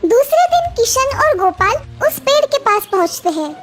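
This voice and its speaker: A woman's voice: extremely high pitch, very animated, normal speed. A woman narrating a scripted children's moral story as the storyteller. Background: loud children's music.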